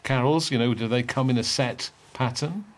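A male presenter asks polite, curious questions at a middling pitch. He keeps a courteous, conversational tone. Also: moderate pace. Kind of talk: interviewing.

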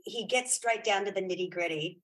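A woman's voice: medium pitch, fairly quick, fairly bright tone, poor audio. A woman chatting casually as an interview guest, often repeating words and restarting as she goes. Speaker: guest, filmmaker and writer.

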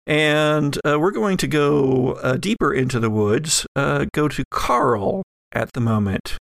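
A man running a tabletop horror game as game master, improvising the narration haltingly and thinking aloud. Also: a little high, sing-song, gravelly, no room sound, clean studio sound.

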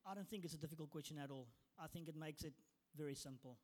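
A man's voice: on the high side, fast, a little mellow. A man giving conversational answers on a panel in an assured, plain-spoken way.

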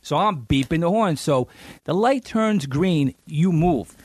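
A man, co-hosting a radio show in a studio, chatty and quick to riff along. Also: fairly high pitch, expressive, breathy edge, dry recording. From New Jersey. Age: 40s. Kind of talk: casual banter.

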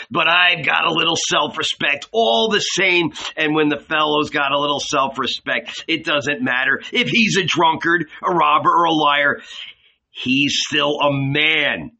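A man's voice: a little high, a little slow, creaky, pushed and bright, voice pushed up, full character voice. A man voicing a coarse, enraged innkeeper in a storybook reading, blunt, swaggering and scornful as he bellows. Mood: furious, scornful.

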